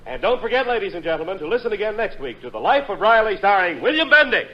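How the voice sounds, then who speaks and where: A man playing a brisk, formal announcer signing off a 1940s radio show. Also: sits high, sing-song. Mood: announcing. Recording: muffled, noisy vintage radio recording.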